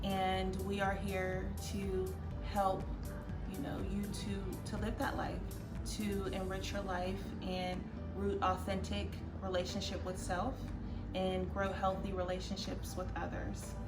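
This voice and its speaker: A woman's voice: mid-range voice, measured pace. Pittsburgh woman in her 30s chatting with her husband, laughs a lot, roomy echo. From Pittsburgh, Pennsylvania, USA. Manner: animated storytelling with frequent laughs. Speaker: co-host, life coach. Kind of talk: casual couple Q&A. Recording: echoey room with loud music.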